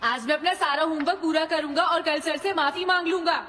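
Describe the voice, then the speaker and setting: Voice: very high-pitched, fairly quick, bright, forceful tone. A voice actor playing an anxious, whiny schoolboy of about ten in a Hindi cartoon dub, plaintive and dramatic. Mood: dejected. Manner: plaintive, dramatic, self-pitying. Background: music.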